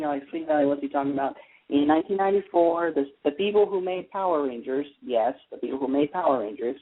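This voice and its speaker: Guy hosting casual tv talk radio, bit high pitched, opinionated, thin phone sound. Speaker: radio show host. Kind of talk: casual entertainment talk about TV soaps. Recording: tinny phone-like internet radio line.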